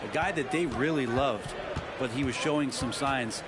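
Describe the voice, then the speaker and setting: A man's voice: medium pitch, normal speed, lightly acted. A man giving conversational, opinionated color commentary on a basketball video game. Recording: loud basketball arena noise behind.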